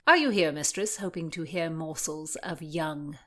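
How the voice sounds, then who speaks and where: A brash, lovesick young earl, boisterous and teasing, as a woman reads him aloud. The voice sits low and hearty. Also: normal speed, fairly bright tone. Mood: boastful.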